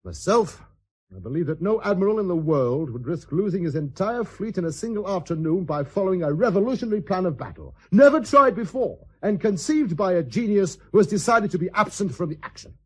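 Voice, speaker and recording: An actor playing a dry, sceptical naval captain, measured and formally deferential, in a radio drama. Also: male voice, high-pitched, lively intonation, slightly rough. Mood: frank, weary.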